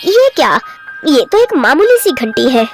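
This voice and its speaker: A woman playing a caring, chiding mother of two sons in expressive cartoon story style. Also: sits high, moderate pace, faint music behind. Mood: surprised. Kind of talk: children's cartoon story acting.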